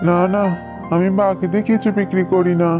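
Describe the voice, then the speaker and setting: Woman as a low-voiced, blunt tiger shopkeeper. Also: flat delivery, moderate pace, lightly acted. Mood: dismissive. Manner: blunt, dismissive. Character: blunt, tight-fisted male tiger shopkeeper. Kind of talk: children's cartoon voice acting. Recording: muffled phone-like audio, guitar music behind. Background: plucked guitar music.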